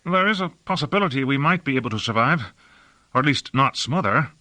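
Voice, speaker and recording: A man's voice: mid-range voice, sing-song, normal speed, pushed and bright, no echo. A man voicing a nervous, earnest young apprentice, tentative and modest, in an audiobook fantasy. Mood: nervous, hopeful.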